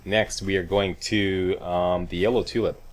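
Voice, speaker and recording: A man's voice: deep voice, fairly even intonation, measured pace, a little hiss or hum. A man giving casual, folksy video game walkthrough commentary with wisecracking asides.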